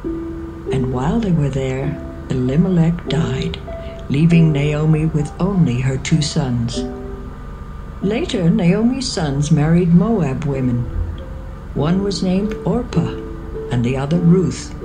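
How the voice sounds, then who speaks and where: A woman narrating scripture from a script in a measured storytelling manner. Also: deep voice, animated, low-quality recording. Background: music.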